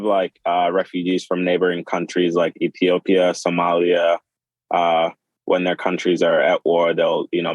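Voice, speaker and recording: A man in his twenties, born in Sudan and raised in Kenya, speaking as a podcast guest in a halting, casual way with many fillers and restarts. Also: low-pitched, no room sound. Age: 20s. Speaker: podcast guest, clothing designer. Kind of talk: casual interview.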